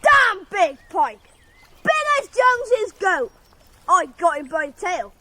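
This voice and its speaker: High-voiced, excitable lad. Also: female voice, takes it slowly, heavily acted. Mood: boastful, tipsy. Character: boastful, tipsy English country lad. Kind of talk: radio drama acting. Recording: noisy outdoor ambience with animals.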